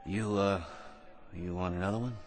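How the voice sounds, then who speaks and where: An actor playing a self-important, talkative loser at a bar, pompous and stammering, in a radio drama. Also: male voice, deep voice, fairly even intonation, normal speed, faint music behind, lightly acted. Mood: chatty. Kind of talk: old-time radio drama acting.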